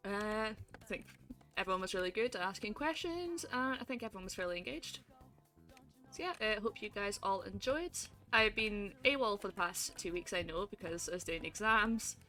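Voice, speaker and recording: A woman's voice: medium pitch, animated, moderate pace, pushed and bright, soft background music. A young Scottish woman hosting a casual debate stream, teasing and laughing often. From Scotland.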